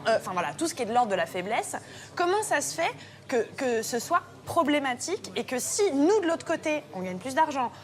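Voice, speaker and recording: High-pitched woman arguing hard in a group chat, keeps firing questions, swears a bit, faint room hum. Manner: forceful, swears, presses with repeated questions. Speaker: group discussion participant. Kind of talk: heated group debate.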